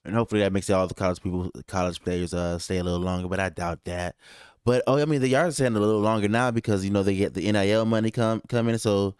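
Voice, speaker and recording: A man's voice: lowish voice, steady tone, fast talker, a little mellow, clear, resonant tone, no echo, clean studio sound. A Texan man co-hosting a podcast, laid-back and slangy in casual sports chat. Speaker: podcast co-host.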